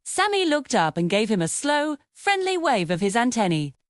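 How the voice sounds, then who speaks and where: A woman's voice: a little high, big pitch swings, normal speed, no echo, studio quality. A woman reading a scripted children's story as its narrator.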